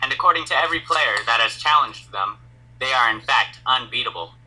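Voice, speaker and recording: A man's voice: mid-range voice, animated, brisk, sharp tone, slightly rough, voice pushed down, heavily acted, poor audio. A man reading as a solemn narrator in an anime fandub script. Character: solemn narrator of urban legends and an unfair world.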